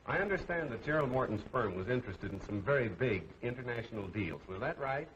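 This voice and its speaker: A suave, evasive 1930s movie gentleman detective, played by a male actor, polite and lively in pitch. The sound is a dull old film track with light noise. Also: quick pace, close to an everyday voice. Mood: inquiring.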